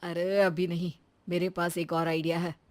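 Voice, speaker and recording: A woman's voice: lowish voice, little pitch movement, moderate pace, natural voice. Sarcastic family man voiced by a woman for a moral tale, teasing and exasperated. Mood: fed up.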